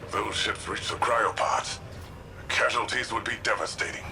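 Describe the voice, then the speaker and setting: An actor playing a grave, vigilant city defender in a sci-fi video game, serious and guarded. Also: male voice, fairly low pitch, normal speed, noisy background, natural voice. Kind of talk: video game cutscene acting. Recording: music behind the voice.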